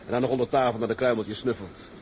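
A man's voice: medium pitch, steady tone, fast, a little mellow, a little hiss or hum, natural voice. A man playing a resolute, dreamy tug captain in a seafaring drama, speaking in an earnest, declamatory way. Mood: eager. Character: resolute, dreamy male tug captain set on owning a ship. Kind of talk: dramatised seafaring story, scripted acting.

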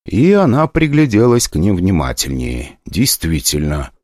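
A man narrating a fantasy audiobook in an expressive, ironic storytelling manner. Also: sits low, a little slow, no room sound, studio quality, heavily acted.

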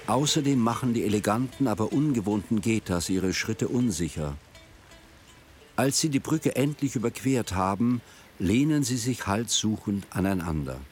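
A man with a fairly low voice tells a literary story for radio. His delivery is measured and descriptive, in the manner of a scripted drama narrator. Music plays clearly behind him. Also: animated.

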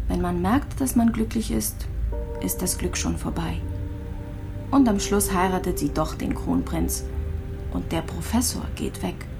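Radio drama role: dying immigrant woman, mid-pitched, wistful, resigned, halting and dreamy, music underneath. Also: gravelly. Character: gravely ill Kazakh-born immigrant woman, wistful and resigned. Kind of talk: radio drama dialogue and monologue.

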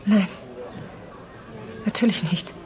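An actress playing a guarded, ambitious young former lover in a radio drama, speaking naturally in a cool, defensive manner. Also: female voice, slow pace, lightly acted. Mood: wistful, hurt. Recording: radio play with loud music underneath. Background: music.

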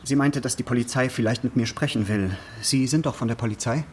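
A man's voice: mid-range voice, fairly quick, a little hiss or hum, lightly acted. An actor playing a nosy, officious male neighbour in a radio drama, speaking in a hesitant, wordy, pedantic way. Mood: hesitant, eager. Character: nosy, officious male neighbour who logs his fellow tenants. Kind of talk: naturalistic radio drama acting.

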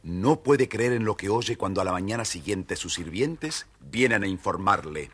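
A man with a husky voice narrating a children's tale theatrically, with dramatic pauses. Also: sits low, lively intonation, a bit bright, soft background music. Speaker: storyteller.

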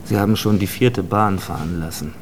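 An actor playing a calmly menacing, philosophising stranger in a radio drama, deliberate, probing and insistent. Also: male voice, low-pitched, over music, lightly acted. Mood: matter-of-fact. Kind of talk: German radio drama acting.

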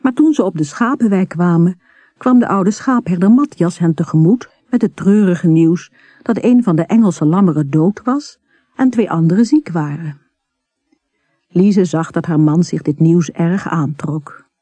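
A narrator reading a literary story in a measured, unhurried manner, with a husky, soft tone. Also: mid-range voice, dry recording.

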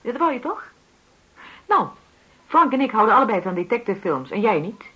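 An actress playing a jealous, direct woman in her forties in a naturalistic radio drama, probing and emotional, with a husky voice. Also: on the high side, big pitch swings, brisk, bright, forceful tone, dull audio, full character voice. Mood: jealous, assertive. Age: 40s. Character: jealous, frank forty-year-old woman negotiating with her partner.

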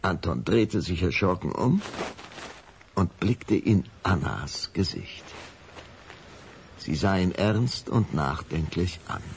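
A man's voice: low voice, expressive, takes it slowly, pauses often, slight background noise. A man narrating a children's radio play as a scripted storyteller.

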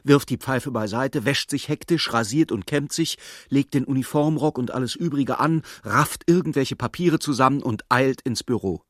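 An actor playing a sober storyteller, narrating a literary radio play in a husky, measured, dramatic way. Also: male voice, mid-range voice, fairly bright tone, dry recording, studio quality, close to an everyday voice. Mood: brisk. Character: sober male storyteller following a troubled clerk.

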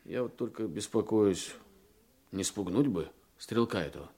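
A man's voice: lowish voice, animated, moderate pace, warm tone, breathy edge. An actor playing an earnest, diligent detective in a Russian radio drama, explaining things eagerly and deferentially to his superior.

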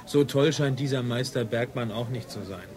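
An actor playing a dry, sardonic male police detective in a German radio drama, deadpan and grumbling. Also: mid-range voice, moderate pace, lightly acted.

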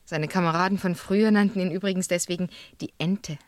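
A woman's voice: fairly low pitch, fairly even intonation, fairly quick, no room sound, natural voice. An actress playing a candid, courteous woman musician in a radio crime drama, speaking politely and openly. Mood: candid, earnest.

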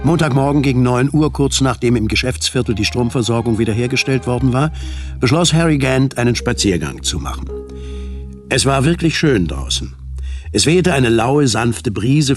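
Male radio drama narrator over loud music. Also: medium pitch, lively intonation, normal speed. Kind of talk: scripted dramatic narration of a science fiction radio play. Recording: studio radio play with loud music bed. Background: loud music and effects.

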